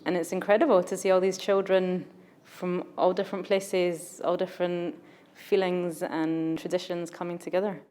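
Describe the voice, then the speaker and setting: A woman's voice: lowish voice, flat delivery, normal speed, mellow. A woman teacher speaking earnestly and with enthusiasm about her school in an interview. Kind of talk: interview answers.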